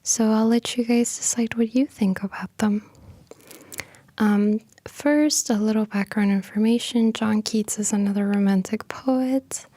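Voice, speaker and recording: A woman speaking softly in a breathy half-whisper, gentle and unhurried, in an intimate ASMR style close to the microphone. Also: on the high side, no room sound. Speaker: ASMR creator and host. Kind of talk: soft-spoken ASMR, casual explaining and poetry reading.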